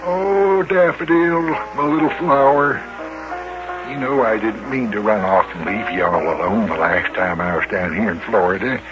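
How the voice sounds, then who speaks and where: A man's voice: fairly low pitch, moderate pace, lightly acted. A man playing a pompous, sweet-talking middle-aged mayor who gushes and hesitates in 1950s children's radio style. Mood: apologetic, cooing. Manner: hesitant, gushing, chuckling. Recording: muffled old radio recording with music.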